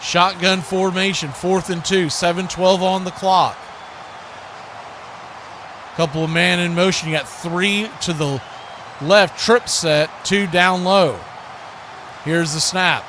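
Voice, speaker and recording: An American man calling live football play-by-play in excitable, clipped phrases. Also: high-pitched, slow, pauses often, noisy background. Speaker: play-by-play announcer.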